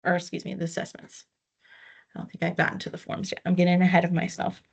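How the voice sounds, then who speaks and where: A woman's voice: fairly low pitch, monotone, quick pace, vocal fry, husky. A woman trainer patiently walking staff through a records system step by step on a video call. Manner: patient, methodical step-by-step explaining. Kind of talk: online training walkthrough. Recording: dull lo-fi audio.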